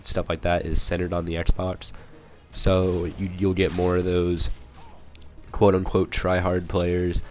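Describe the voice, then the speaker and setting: A young man with a cold, hoarse and a little raspy, rambling through casual gameplay commentary with many fillers and restarts. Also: low-pitched, completely flat delivery, takes it slowly, a little mellow, soft background music. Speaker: gaming channel commentator.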